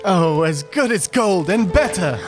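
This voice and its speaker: A man playing a gentle, kindly, earnest father in a radio play. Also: high voice, lively intonation, measured pace, music in the background. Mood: fond.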